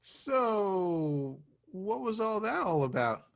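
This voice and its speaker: Man acting young male slacker, whiny, sheepish, hesitant, lively swings in pitch, comedy script read. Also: takes it slowly, creaky, full character voice. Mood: awkward, puzzled. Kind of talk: table read of a comedy screenplay.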